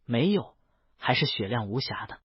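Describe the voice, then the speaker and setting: Man acting low, restrained young male executive. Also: very animated, normal speed, husky, dry recording, highs cut off. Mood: teasing, fond. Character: calm, powerful young male executive, quietly tender.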